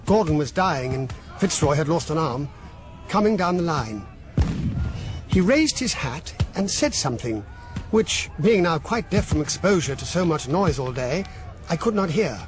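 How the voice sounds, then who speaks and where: Radio drama: British soldier deafened by battle, recounting it, raised pitch, faint music behind. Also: male voice, very animated, a little slow. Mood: grave.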